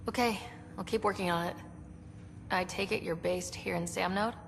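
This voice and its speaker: A woman as a gravelly-edged young space explorer. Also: mid-range voice, steady tone, moderate pace, lightly acted. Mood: curious, wry. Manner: casual, wry, direct. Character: young female space explorer, dry and direct. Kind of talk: scripted video game dialogue. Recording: reverberant space, clear background noise.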